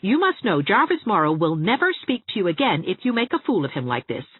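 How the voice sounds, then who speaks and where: A woman voicing a brisk, exasperated young woman in an audiobook, sharp, insistent and scolding. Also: medium pitch, big pitch swings, slightly rough, no room sound, voice pushed up. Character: brisk, sarcastic, exasperated young woman, a bossy loyal friend. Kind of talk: audiobook character voice. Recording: thin phone-like narrow-band sound.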